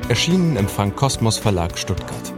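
A male announcer reads scripted credits in a low voice. Loud plucked guitar music plays behind him. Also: very animated, moderate pace.

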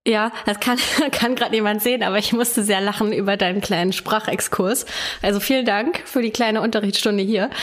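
A female true crime podcast co-host who talks in a casual, chatty way and laughs easily. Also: mid-range voice.

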